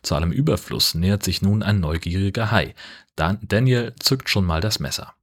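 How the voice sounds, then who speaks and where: A man's voice: low voice, normal speed, slightly rough. A man, a podcast host reading a scripted recap of a film.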